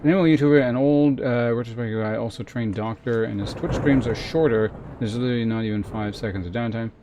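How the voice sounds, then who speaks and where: A man's voice: medium pitch, fast, mellow, highs cut off, slight background noise. A man casually commentating on a video game live, thinking aloud in a hesitant way as he chats with viewers.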